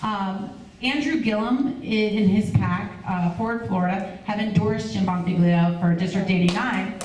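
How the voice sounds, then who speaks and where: A woman's voice: medium pitch, moderate pace, darkish tone. Upbeat woman announcing in echoey hall. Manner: upbeat, persuasive invitation. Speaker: campaign manager. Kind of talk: announcement at a community meeting. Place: community center room. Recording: echoey room, far from the mic, room noise.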